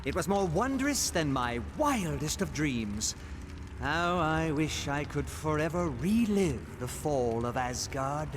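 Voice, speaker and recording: A man's voice: fairly high pitch, noisy background. A man playing a gloating trickster god, a pompous and mocking video game villain with grand archaic speech.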